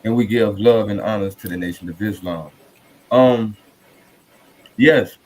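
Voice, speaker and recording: A man hosting a podcast, speaking in a halting, courteous and reverent conversational way. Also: lowish voice, darkish tone, rough recording. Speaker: podcast host. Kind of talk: conversational podcast introduction and discussion. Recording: noticeable background noise.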